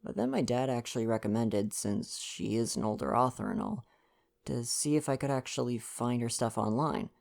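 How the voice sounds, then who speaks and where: Gentle young man with a creaky, mellow voice. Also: medium pitch, expressive, normal speed. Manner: gentle, playful, affectionate. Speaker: ASMR roleplay performer and reader. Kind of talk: intimate ASMR boyfriend roleplay, casual chat and novel reading.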